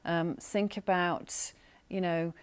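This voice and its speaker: A woman's voice: lowish voice, level tone, slow pace, smooth. A woman radio drama producer giving advice in an interview, listing her points with emphasis.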